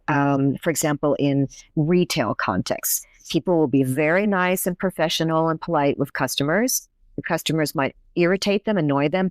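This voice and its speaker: A woman's voice: sits low, no echo. A woman professor and native English speaker, explaining her research in a conversational podcast interview, thoughtful with frequent restarts.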